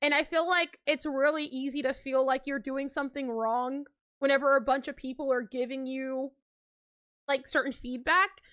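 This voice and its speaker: High-voiced chatty young woman streamer. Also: steady tone, normal speed, a bit bright. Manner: chatty, rambling, laughs often, heavy filler words. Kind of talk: casual live-stream chat.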